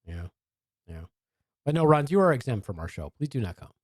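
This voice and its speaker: A man's voice: mid-range voice, sing-song, fairly quick, no room sound, studio quality. A man hosting a podcast, chatting casually and teasing his co-host in a rambling way.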